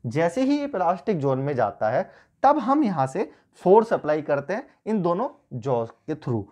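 A man in his thirties teaches an engineering topic, talking through each step as he sketches on a whiteboard. His voice swings widely up and down in pitch. He is picked up by a camera a few feet away in a small room. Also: sing-song, normal speed, mellow. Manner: explains step by step, pointing and drawing while talking. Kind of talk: whiteboard lecture.

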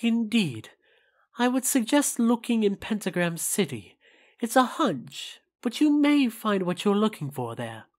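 Slow, regal, haughty demon prince , acted out. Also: mid-range voice, sing-song. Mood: composed. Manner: formal, haughty, measured. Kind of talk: animated fan audio drama acting.

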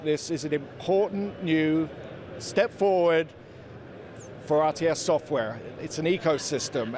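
A man in his forties presenting products as a company representative in an interview, somewhat halting and repeating words. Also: on the high side, darkish tone. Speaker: company representative at a trade show. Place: indoor exhibition booth. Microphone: handheld interviewer's microphone. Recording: busy trade-show floor crowd noise.

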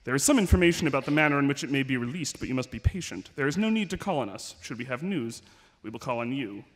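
A man reading the part of a solemn old male monk in a measured, formal way. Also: mid-range voice, animated, natural voice.

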